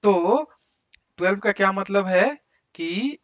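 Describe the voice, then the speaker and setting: A man's voice: sits high, moderate pace, a bit bright. A man with an Indian accent teaching school maths step by step, often posing questions and checking along the way. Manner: explains step by step with frequent rhetorical questions and check words. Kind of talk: school maths lecture in Hindi mixed with English. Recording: dull lo-fi recording.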